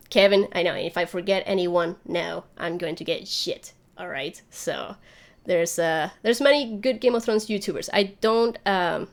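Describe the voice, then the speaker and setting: A woman hosting a livestream, chatting casually and rambling as she thinks aloud while answering viewer questions. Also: on the low side. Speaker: YouTube channel host.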